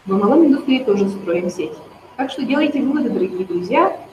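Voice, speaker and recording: A woman's voice: fairly high pitch, lively intonation, fast, noisy background. Woman, 30s, persuasive promoter; dull, roomy home recording. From Yakutia. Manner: persuasive, addresses viewers directly. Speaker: network marketing promoter. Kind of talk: persuasive talk to viewers.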